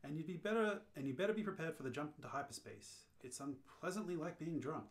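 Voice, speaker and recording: Amateur reader as worldly alien hitchhiker, deadpan, matter-of-fact, medium pitch, radio play dialogue. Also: fast talker, natural voice. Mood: dry, unfazed.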